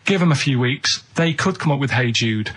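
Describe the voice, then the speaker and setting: A man's voice: medium pitch, animated, normal speed, a bit bright. A man, co-hosting a radio show and telling a casual, rambling anecdote in an earnest, plain-spoken way. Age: 30s. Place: radio studio.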